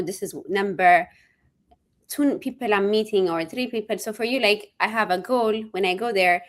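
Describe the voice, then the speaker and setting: A woman hosting an interview, asking questions in a friendly, eager way with loose phrasing. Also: medium pitch. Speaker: host. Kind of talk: conversational interview.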